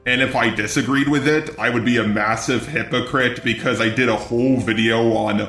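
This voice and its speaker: A man's voice: mid-range voice, steady tone, moderate pace, a bit bright, reverberant, faint music behind. A synthetic, robotic male voice delivering scripted commentary in a blunt, sardonic way, as a robot persona. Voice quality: synthetic robotic text-to-speech voice. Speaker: video commentator.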